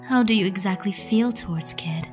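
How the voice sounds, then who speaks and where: Woman voicing a gentle, mid-pitched goat mother. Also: normal speed, over music, close to an everyday voice. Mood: concerned, gentle. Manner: caring, careful wording. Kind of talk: comic dub character acting.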